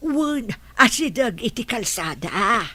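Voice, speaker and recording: A woman's voice: a little high, very animated, slow, bright, forceful tone, no echo, exaggerated voice. A woman playing a confused, forgetful old grandmother in an audio drama, rambling and insistent. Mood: confused, wistful.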